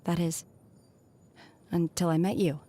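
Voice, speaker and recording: A woman's voice: lowish voice, steady tone. A woman playing a solemn, aloof young exorcist raised by immortals, speaking formally and earnestly as a video game character. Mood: calm, reserved. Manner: measured, stoic, formal, softly earnest.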